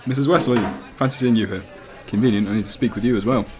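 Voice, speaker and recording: A man's voice: medium pitch, fast, over music, lightly acted. A man with a British accent playing a casual but persistent police detective in a radio play, questioning politely and insistently. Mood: polite, pressing. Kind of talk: student radio drama acting. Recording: cafe crowd chatter behind.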